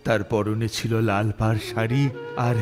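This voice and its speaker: A man reading a scripted dramatic story as narrator, expressive and suspenseful. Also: fairly low pitch, unhurried. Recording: voice over prominent background music. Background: music.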